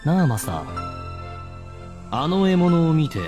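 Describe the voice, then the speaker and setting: A man's voice: a little high, very animated, takes it slowly, over music. An actor playing a casual, questioning, cheeky young man in a visual novel game. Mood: mocking.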